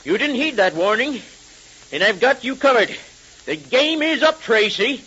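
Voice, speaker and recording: An actor playing a menacing, taunting hooded outlaw with a raspy voice in a 1930s radio serial. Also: male voice, extremely high pitch, animated, measured pace, pushed and bright, heavily acted. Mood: menacing, gloating. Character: menacing, taunting hooded outlaw rider, adult male villain. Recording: muffled, hissy 1930s radio recording.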